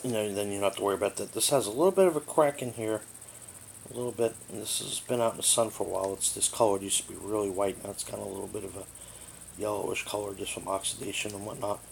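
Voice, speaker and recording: Low gravelly man, casual product reviewer. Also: quick pace. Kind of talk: casual hands-on product overview. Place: living room indoors. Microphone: tablet's built-in microphone. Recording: noisy amateur tablet recording.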